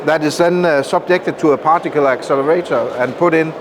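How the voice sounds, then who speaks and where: Male product maker, somewhat high pitch, emphatic technical explainer, loud show-floor noise behind. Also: fairly quick. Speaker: loudspeaker maker, interview guest. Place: indoor audio trade show room.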